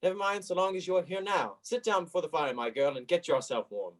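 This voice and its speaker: A fond old grandfather, played by a man, with a fairly high voice. He sounds caring and a little anxious, in radio play style. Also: fairly quick, lightly acted. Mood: fond, welcoming.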